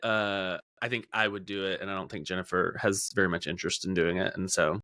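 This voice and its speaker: Bright-voiced man chatting on a podcast. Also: sits low, fairly even intonation, a little fast, no room sound. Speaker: podcast host. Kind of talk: casual podcast chat.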